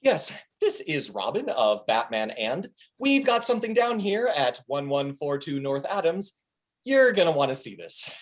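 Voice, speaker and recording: A woman's voice: sits low, sing-song, moderate pace. A woman playing a foul-mouthed, defiant male sidekick in a comedy table read, with pleading, theatrical delivery. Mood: triumphant. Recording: video call.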